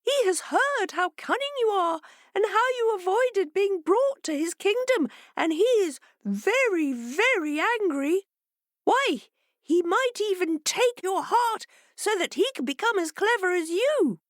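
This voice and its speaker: Squeaky flustered little fish. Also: female voice, animated, unhurried, dry recording, clean studio sound. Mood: anxious. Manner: hesitant and flustered.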